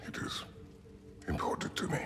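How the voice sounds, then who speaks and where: An actor playing a stern, terse warrior father with a gravelly voice and grave, clipped, formal speech. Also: normal speed, in a whisper, in a large hall, close to an everyday voice. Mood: solemn.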